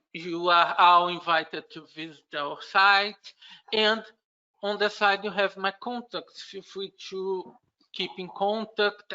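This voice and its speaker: A man with a Brazilian Portuguese accent walks a remote audience through a technical topic, pausing often with "uh" and restarting phrases. He talks slowly, and his voice has a bright, forward edge. The recording is a bit dull and lo-fi. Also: high-pitched, smooth. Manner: unhurried, halting explanation with frequent "uh" fillers and restarts. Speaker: conference speaker. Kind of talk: technical conference presentation.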